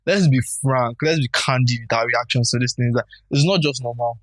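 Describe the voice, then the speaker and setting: A young man with a Nigerian English accent teases and boasts through a relaxed podcast chat. His voice sits at a middle pitch. The sound is dry, with no room behind it. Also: a little fast, no echo. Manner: playful, boastful teasing. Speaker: podcast co-host. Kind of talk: casual banter.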